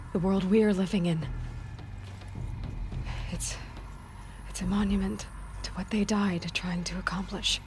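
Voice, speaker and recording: Woman as determined young heroine: unhurried, hushed, earnest game dialogue, mid pitch, music underneath. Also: close to an everyday voice. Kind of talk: dramatic video game acting.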